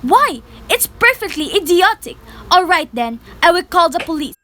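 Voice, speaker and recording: Young woman playing a very high-voiced, fretful invalid wife. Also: big pitch swings, moderate pace, bright, forceful tone, exaggerated voice. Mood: indignant. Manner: fretful, insistent, imperious. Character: anxious, demanding invalid wife, easily exasperated. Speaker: student performer in a school radio play. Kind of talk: amateur radio-play acting.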